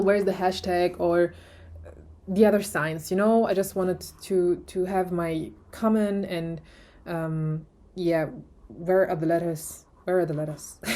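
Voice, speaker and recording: A woman's voice: on the low side, mellow. A young woman with a German accent, whose first language is German, chatting casually in a product review video, with many fillers and restarts. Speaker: vlogger.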